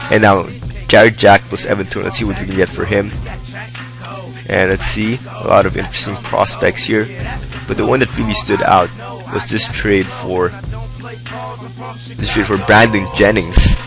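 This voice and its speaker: A young man with a hoarse voice giving rambling, upbeat commentary over his own gameplay. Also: lowish voice, level tone, moderate pace, pauses often, music in the background. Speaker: gaming video host. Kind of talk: casual game commentary. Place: indoors, hot room.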